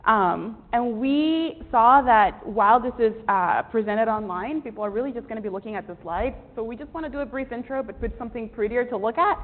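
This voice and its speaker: A woman's voice: on the high side, fairly quick, hardly any pauses. A woman from Rico, giving an earnest academic presentation to a room full of students, with frequent ums. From Puerto Rico. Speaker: research presenter. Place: lecture room with an audience. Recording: tinny, echoey lecture hall recording.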